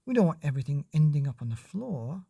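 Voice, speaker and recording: Man voicing a kindly, soft-toned storybook mum. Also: fairly high pitch, big pitch swings, fast talker, gravelly, slightly rough, no echo, highs cut off, exaggerated voice. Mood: soothing. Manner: soothing, practical.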